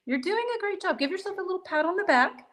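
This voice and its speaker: Female teacher host, high-pitched, upbeat, encouraging, casual. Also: lively intonation, quick pace, a bit bright. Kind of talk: encouraging interview chat.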